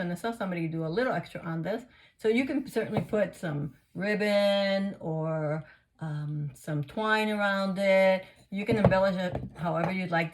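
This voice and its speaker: A woman hosting a casual craft tutorial, chatting through each step and a little hoarse and sniffly. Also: lowish voice, a little hiss or hum. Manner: chatty, narrates each step, gushes over results. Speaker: craft video host.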